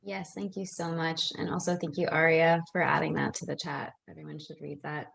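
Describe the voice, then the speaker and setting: A woman moderating an online seminar, thanking speakers and calling on the next person in a brisk, friendly way. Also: sits low, flat delivery, vocal fry, a bit bright, breathy edge. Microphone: video call.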